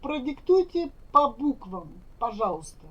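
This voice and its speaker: High, lively woman teacher enunciating carefully. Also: measured pace, husky. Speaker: Russian language teacher. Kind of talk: slow lesson phrases repeated for learners. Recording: dull lo-fi recording.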